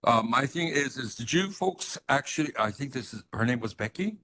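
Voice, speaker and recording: Man, from Hawaii, board member, courteous, explanatory, bright tense tone. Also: medium pitch, expressive, moderate pace, slight background noise. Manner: courteous, explanatory, offers help. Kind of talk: public meeting comment. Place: community meeting room.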